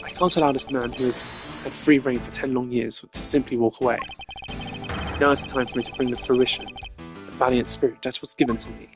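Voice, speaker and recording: A man voicing a composed, determined prosecutor from a video game, speaking formally and in measured phrases. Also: mid-range voice, fairly even intonation, over music, close to an everyday voice. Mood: resolute. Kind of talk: video game dialogue acting.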